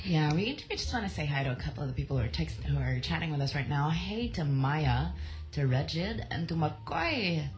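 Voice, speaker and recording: A woman co-hosting a casual radio chat show, joking playfully. Her voice is on the low side and moves very expressively. Music plays clearly behind her. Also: lively intonation, moderate pace.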